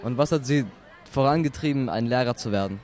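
A young man works through prepared interview questions at an outdoor festival, pausing often with hesitant ums. His voice has a mid pitch for a man. Faint music carries in the background. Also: darkish tone. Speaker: student interviewer.

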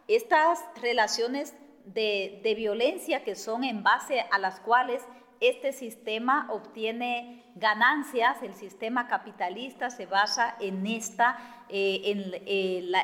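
A woman from Guatemala, giving a measured lecture with many pauses and fillers. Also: fairly high pitch, fairly bright tone. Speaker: speaker. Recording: echoey hall.

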